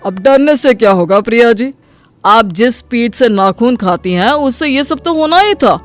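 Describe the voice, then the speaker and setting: A man's voice: very high voice, animated, moderate pace, faint music behind. A man voicing a matter-of-fact hospital doctor in a cartoon moral story, firm and blunt.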